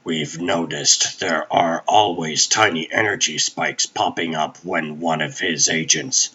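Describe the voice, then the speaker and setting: A man's voice: rumbling low, a little slow, pushed and bright, husky, lo-fi sound, heavily acted. A man voicing a matter-of-fact scientist in a comic dub, explaining findings plainly. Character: very deep-voiced, matter-of-fact male scientist. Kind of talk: fan comic dub voice acting.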